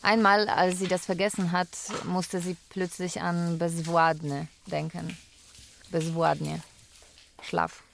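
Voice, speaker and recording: A woman playing a carer who narrates a daily routine in a radio drama, measured and matter-of-fact. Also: on the low side, gravelly, slight background noise.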